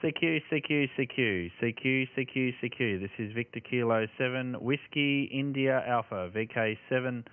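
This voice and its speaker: A man's voice: mid-range voice, normal speed, few pauses. Tinny-sounding Australian man on ham radio. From Tasmania, Australia. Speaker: amateur radio operator. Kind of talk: ham radio calling, phonetic call signs and signal reports. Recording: tinny band-limited audio with radio static.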